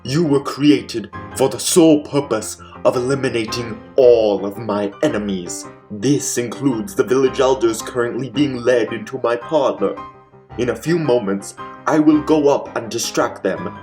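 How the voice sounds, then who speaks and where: A man's voice: mid-range voice, a little slow, a little mellow, faint music behind, natural voice. A man playing a megalomaniac, bossy mad scientist villain with theatrical delivery, in the style of old comedic radio mystery. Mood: sinister scheming. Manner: theatrical, exclaiming, cackling.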